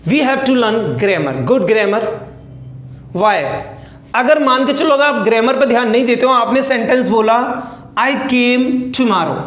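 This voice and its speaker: A man from India in his thirties with an Indian accent teaches a spoken English lesson. His voice sits very high, and it rings around an echoey classroom. He keeps asking the class questions and then answering them himself. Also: slow, fairly bright tone. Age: 30s.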